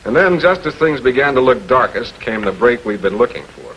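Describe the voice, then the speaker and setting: Measured prosecutor on muffled old film. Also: male voice, medium pitch, very animated, fairly bright tone. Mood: narrating. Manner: measured, businesslike, insistent. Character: cool, probing, authoritative public prosecutor. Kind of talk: 1940s American TV crime drama acting and narration. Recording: dull, noisy 1940s film soundtrack.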